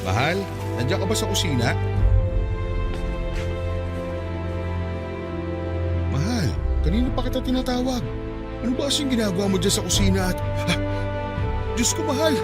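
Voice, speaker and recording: An actor playing a caring, worried middle-aged husband and father in a radio drama, speaking in a concerned, coaxing way. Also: very high voice. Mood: affectionate, casual. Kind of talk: Tagalog radio drama acting.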